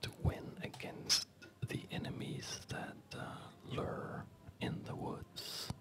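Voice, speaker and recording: An ASMR host whispering an unhurried, hesitant commentary while playing a strategy game. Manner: hesitant, unhurried, softly rambling.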